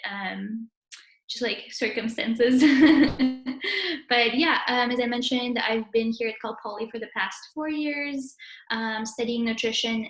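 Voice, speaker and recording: Higher-voiced young Russian woman, roomy sound. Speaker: interviewee, university student. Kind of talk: casual interview answers.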